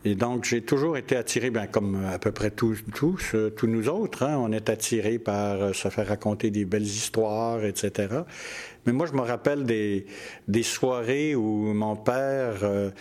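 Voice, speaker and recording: Older man, low and unhurried. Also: darkish tone. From Quebec, Canada. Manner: hesitant, pauses often with many fillers. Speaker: storyteller and festival organizer. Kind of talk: relaxed interview conversation.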